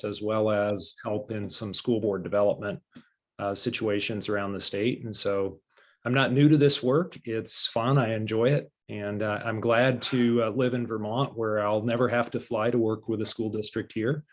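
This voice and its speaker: Relaxed man introducing himself. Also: lowish voice. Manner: relaxed, friendly, many uh fillers. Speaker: new director of board services. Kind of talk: casual self-introduction.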